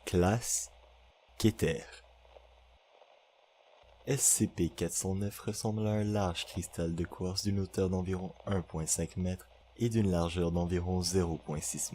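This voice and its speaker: Male narrator, low-pitched, formal and measured delivery of a clinical horror audio log, faint ambient noise behind. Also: dark tone.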